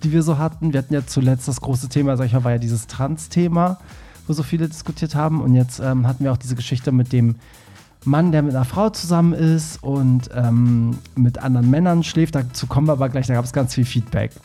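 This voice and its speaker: A man's voice: mid-range voice, fairly quick, mellow, faint music behind. A German man hosting a podcast in casual chat, a little nasal from a cold, laughing often and filling with ums. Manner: chatty, laughs often, many fillers. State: has a cold, slightly nasal. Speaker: podcast host.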